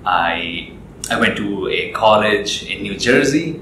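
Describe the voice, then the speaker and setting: A young man from Nepal, a guest in an interview, talking in a relaxed, rambling way with lots of fillers and restarts. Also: lowish voice, slow, a little hiss or hum. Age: young adult. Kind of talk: casual interview chat. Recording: echoey room with loud music.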